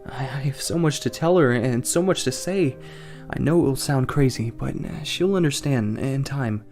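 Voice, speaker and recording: A man acting an anguished family man in a fiction podcast, narrating in the first person with halting, emotional delivery. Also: medium pitch, a little mellow, soft background music, natural voice. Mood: breathless wonder. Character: anguished family man trapped in frozen time. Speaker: narrator and lead actor in an audio drama.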